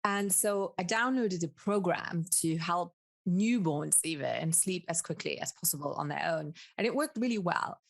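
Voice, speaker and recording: A woman's voice: on the low side, normal speed, gravelly. A woman in her thirties from Luxembourg, a native Luxembourgish speaker with a British-tinged English accent, chatting candidly as a podcast guest. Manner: candid, laughs easily, hedges with fillers. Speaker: guest, business coach. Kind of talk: casual interview chat.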